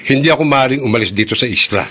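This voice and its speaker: A grim male scientist, played by an actor speaks at a middle pitch, measured and determined. It is formal radio drama acting with faint noise behind. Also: pushed and bright, natural voice. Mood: grave. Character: grim, resolute adult male scientist, dutiful son.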